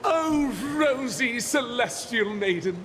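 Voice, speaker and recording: High-voiced, rapturous poet. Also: male voice, expressive, slow pace, a bit bright, a little hiss or hum, heavily acted. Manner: florid, lyrical. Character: lovestruck, theatrical poetic dreamer. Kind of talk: video game voice acting, poetic recitation.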